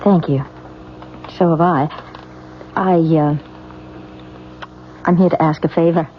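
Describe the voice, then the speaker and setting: A woman's voice: sits low, steady tone, slow pace. An actress playing a nervous, secretive young married woman in an old radio drama, anxious and hesitant, stammering as she talks. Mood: subdued, pleading. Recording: muffled old radio recording, faint noise.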